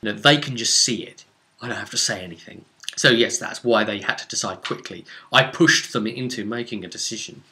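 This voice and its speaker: A man recounting a tabletop role-playing session as game master, in a casual, rambling, animated storytelling way. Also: on the low side, a little fast, low-quality recording.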